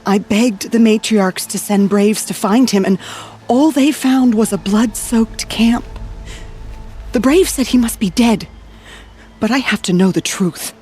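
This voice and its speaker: A woman's voice: on the high side, measured pace, a little mellow, lightly acted. An actress playing an anxious, grieving tribeswoman in a video game, hesitant and earnest as she pleads. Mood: sorrowful. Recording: music under the voice.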